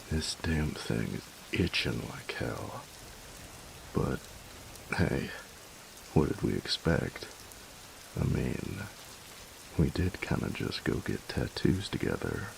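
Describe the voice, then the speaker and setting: A gruff young bully boyfriend, acted by a man in an intimate roleplay, with an extremely low voice full of heavy fry. He takes his time and teases with a tender undertone. Clear hiss and rain sit behind him. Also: exaggerated voice. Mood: relaxed teasing. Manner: gruff, teasing, halting when tender. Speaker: voice actor in roleplay audio. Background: steady rain.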